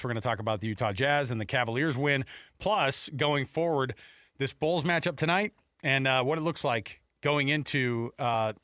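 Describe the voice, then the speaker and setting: A man's voice: medium pitch, fairly bright tone, no echo, studio quality. An American man hosting a sports talk radio show in a casual, conversational way.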